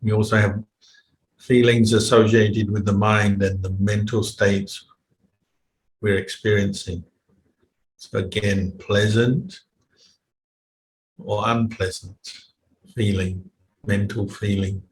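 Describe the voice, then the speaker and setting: Slow, even-toned man guiding meditation, roomy echo. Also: fairly low pitch. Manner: measured, unhurried, with long pauses. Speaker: meditation teacher, Buddhist monk. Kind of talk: guided meditation instruction. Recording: roomy echo, faint background noise.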